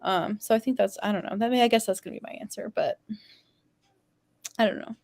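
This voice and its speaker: A woman with a mid-pitched voice speaks on a casual fan panel. She is halting and unsure, searching for her answer as she talks. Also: fairly even intonation, darkish tone.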